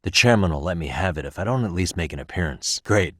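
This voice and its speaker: A man's voice: low voice, expressive, quick pace, slightly rough, no echo, studio quality. A man playing a cool, principled, easygoing investigator in an English-language video game, speaking in a casual, self-assured and earnest way. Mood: friendly, casual.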